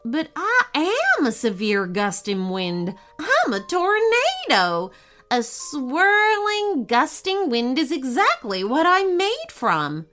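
Woman doing a worried lil tornado guy, high and fretful. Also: huge pitch swings, takes it slowly, bright, forceful tone, soft background music, heavily acted. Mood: explaining. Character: anxious, well-meaning male tornado.

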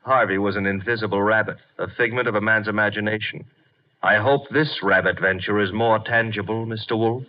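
A man's voice: lowish voice, fairly even intonation, fairly quick, close to an everyday voice. An actor playing a glib, flirtatious detective's legman with wry, bantering delivery in 1950s radio drama style. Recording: dull, noisy 1950s radio recording.

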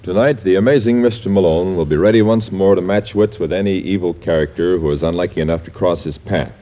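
A man's voice: deep voice, fast talker. An American man reading a scripted promotional announcement in classic mid-century radio announcer style. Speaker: network announcer. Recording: dull vintage radio recording.

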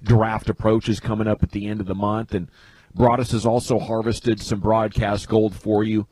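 A man hosting a sports talk segment, asking questions and smoothly setting up topics. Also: lowish voice, level tone, moderate pace, a little mellow, no room sound, poor audio. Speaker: show host.

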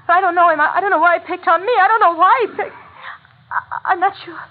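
High-voiced frightened dame, vintage radio. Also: female voice, steady tone, quick pace. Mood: anxious, pleading. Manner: breathless, stammering, anxious. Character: frightened, pleading young nightclub beauty in trouble. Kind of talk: old-time radio drama acting. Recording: tinny vintage radio recording, faint noise.

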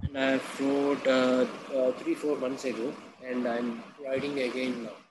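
A man's voice: mid-range voice, flat delivery, unhurried, background noise. Hesitant young man from India. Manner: hesitant, many fillers. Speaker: student. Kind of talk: casual conversation practice.

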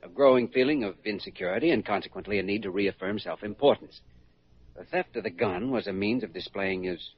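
An earnest young doctor in a vintage radio drama, thoughtful and polite. The voice sits low with a creaky, husky edge and lively inflection, on a dull old recording. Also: male voice, brisk. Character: earnest, conscientious, caring young male doctor. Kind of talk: 1940s radio acting.

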